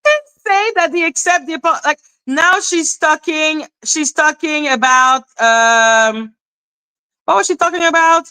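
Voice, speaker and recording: Bright-voiced woman ranting. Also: high-pitched, a little slow, no echo, poor audio. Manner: sarcastic, exasperated, rambling. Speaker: livestream host. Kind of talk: ranting live-stream commentary.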